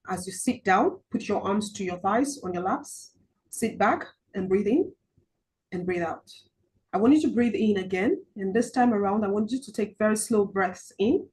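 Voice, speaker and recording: A woman coaching a group on money mindset over a video call. She talks haltingly with many ums and restarts, and slows into soft guided-relaxation instructions. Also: mid-range voice, low-quality recording. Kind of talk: online group coaching session with guided visualization.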